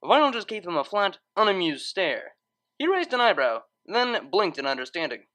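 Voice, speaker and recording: A woman reading scripted fiction as narrator in an expressive storytelling manner. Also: lowish voice, normal speed.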